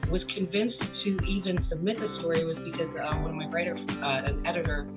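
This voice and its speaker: A woman speaking as an interview guest in an earnest, halting, personal way. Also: low voice, steady tone, loud music behind the voice. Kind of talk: casual personal chat.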